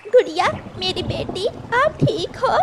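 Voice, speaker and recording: A woman's voice: extremely high pitch, big pitch swings, slow, noisy background, exaggerated voice. A woman voicing a caring, emotive mother bird in a cartoon. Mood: worried. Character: caring, trusting mother bird, anxious for her daughter. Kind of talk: Hindi cartoon character dialogue.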